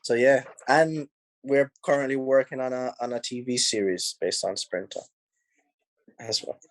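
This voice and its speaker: A man's voice: mid-range voice, moderate pace, no echo, poor audio. Laid-back Jamaican man, dry close sound. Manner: relaxed, rambling, many "you know"s, laughs easily. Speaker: film director. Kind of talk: casual interview answers. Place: home in Los Angeles.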